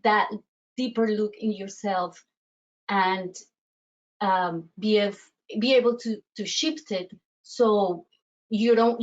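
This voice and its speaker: A woman's voice: a little high, highs cut off. A woman from Colombia with a Colombian Spanish accent, giving a coaching webinar presentation with many fillers and restarts. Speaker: self-worth coach.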